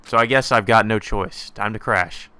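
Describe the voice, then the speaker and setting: Bright-voiced, sweary man doing gaming commentary. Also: fairly low pitch, moderate pace, no room sound. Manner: sweary, offhand. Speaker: let's play host. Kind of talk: casual gaming commentary reading a visual novel aloud. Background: faint game music.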